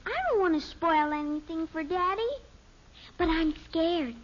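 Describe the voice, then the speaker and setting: An anxious little American girl, in a 1950s radio sitcom. Her voice is extremely high and slow, whiny and pleading, on a dull old noisy recording. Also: fairly even intonation. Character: anxious whining little girl, youngest daughter.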